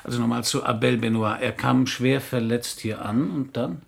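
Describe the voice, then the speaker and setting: An actor playing a cynical, middle-aged private detective in a German radio crime drama, wry and laconic. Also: male voice, mid-range voice, steady tone, moderate pace. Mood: wry, probing. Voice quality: husky, gravelly.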